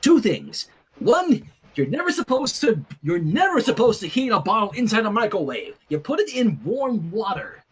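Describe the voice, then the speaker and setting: A narrator voicing a brash, excitable teenage athletic girl with gushing, slangy speech in a fan fiction reading. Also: medium pitch, moderate pace, husky. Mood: blunt. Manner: gushing and exclamatory, casual slang.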